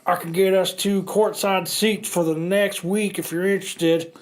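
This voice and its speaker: A man's voice: high voice, steady tone, moderate pace, lightly acted. A man in an amateur comic reading, playing a mild henchman friend with plain, earnest delivery. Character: mild henchman friend, aggrieved but eager to please.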